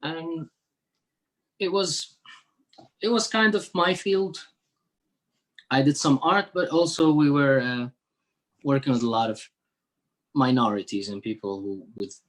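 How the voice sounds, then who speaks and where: A man's voice: medium pitch, a little slow, pauses often, poor audio. Syrian man answering in an interview. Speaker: interview guest, musician working with NGOs.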